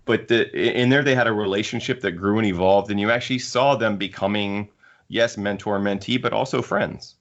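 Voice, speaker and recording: A man with a very low voice hosts a casual book discussion through slightly muffled, lo-fi audio. He talks in a halting way, often repeating and rewording his phrases. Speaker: podcast host.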